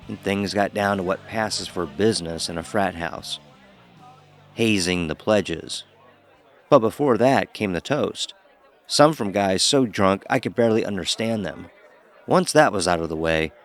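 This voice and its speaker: Guy playing a young college pledge telling a horror story, wry, lowish voice, faint music under. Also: natural voice. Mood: wry, reminiscing. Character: young male college pledge recounting his hazing. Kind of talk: first-person horror story narration.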